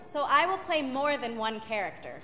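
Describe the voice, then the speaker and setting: A woman who sings soprano narrates a story on stage with an expressive storyteller's delivery. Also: high voice, normal speed. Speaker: soprano opera singer and narrator. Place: opera house stage, live show. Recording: echoey hall.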